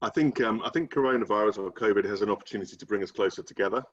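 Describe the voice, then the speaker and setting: The British coach you'd hear as a guest on an online interview, low voice, feeling his way through answers over slightly muffled audio. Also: rapid-fire. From United Kingdom. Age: middle-aged. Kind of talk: casual video-call interview. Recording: dull, muffled video-call audio.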